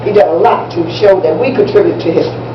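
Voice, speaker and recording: A woman's voice: fairly low pitch, fast talker, natural voice. A woman playing an enthusiastic American schoolteacher on stage in an amateur play, speaking didactically and repeating and spelling out key words. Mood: instructive. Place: indoor room at a public library. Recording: distant, echoey, muffled, noisy room recording.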